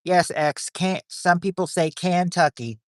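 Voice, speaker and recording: A man's voice: high voice, a little slow. A man hosting a livestream of a quiz game, rambling as he reads chat and greets viewers.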